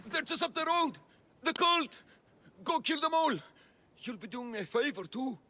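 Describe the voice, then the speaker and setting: A man's voice: extremely high pitch, animated, normal speed, sharp tone, full character voice. An actor playing a cowardly cult henchman who stammers and pleads frantically under threat. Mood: panicked. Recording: orchestral game score underneath.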